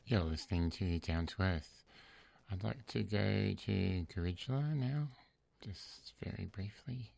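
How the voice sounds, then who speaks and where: Deep, gravelly man, slow radio host. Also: darkish tone, dry recording. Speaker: radio presenter. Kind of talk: live radio links.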